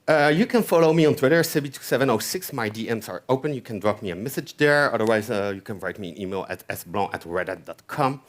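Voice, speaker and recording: A man's voice: medium pitch, expressive, quick pace, hardly any pauses. French accent guy on stage doing a tech talk, voice bounces up and down a lot, keeps saying okay?. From France. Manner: chatty, keeps asking "okay?". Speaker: conference speaker. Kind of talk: tech conference talk with live coding. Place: conference hall stage.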